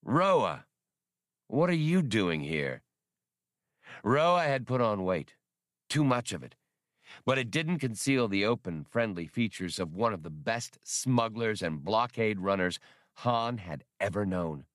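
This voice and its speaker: A man's voice: lowish voice, animated, unhurried, fairly bright tone, dry recording, studio quality. A man reading a scripted work of fiction as an audiobook narrator.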